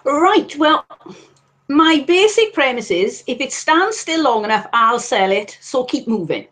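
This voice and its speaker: High-voiced Welsh woman chatting. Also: big pitch swings, unhurried, fairly bright tone. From South Wales. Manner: chatty and candid, with wry asides. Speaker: guest reseller. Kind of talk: casual live-stream chat.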